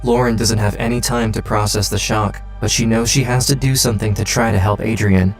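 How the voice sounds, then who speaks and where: Low-voiced man narrating true crime. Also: fairly even intonation, brisk, faint music behind. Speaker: documentary narrator.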